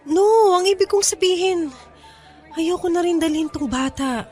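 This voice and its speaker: A woman's voice: extremely high pitch, measured pace, darkish tone, faint music behind. An actress playing a despairing but stubborn young woman in a Tagalog radio drama, speaking in a plaintive, pleading way. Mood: resolved, distressed. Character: Lyra, despairing, stubborn young pregnant woman feeling unwanted.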